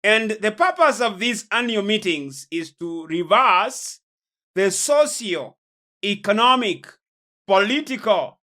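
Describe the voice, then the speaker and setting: Casting: man as a religious lecturer, unhurried and emphatic, with a sharp, forceful delivery and weighty repetition. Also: sits high. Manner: deliberate, emphatic, with pauses and repeated phrases. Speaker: preacher, host of a religious programme. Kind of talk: sermon-like lecture on prophecy and world events.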